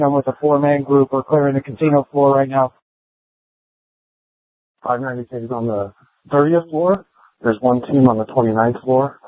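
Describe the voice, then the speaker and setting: A man's voice: medium pitch, no room sound. Man on a tinny police radio. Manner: clipped radio procedure. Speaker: police officer.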